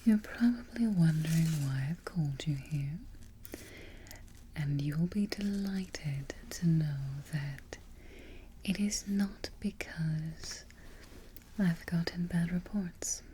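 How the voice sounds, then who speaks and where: A smug, imperious evil queen, acted by a woman, murmurs her orders in a breathy near-whisper, very close to the mic. Her delivery is slow and deliberate, with a mellow tone and faint noise behind it, in a soft ASMR roleplay style. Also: fairly low pitch. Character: haughty, gloating evil queen giving orders to a trusted underling. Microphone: close-up ASMR microphone.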